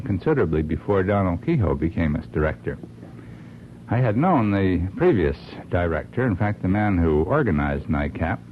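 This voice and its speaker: An American man giving formal, deliberate remarks as a radio panel guest, in halting phrases. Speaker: panel guest, organization member. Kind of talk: radio interview testimonial. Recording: muffled old radio recording, faint rumbling noise.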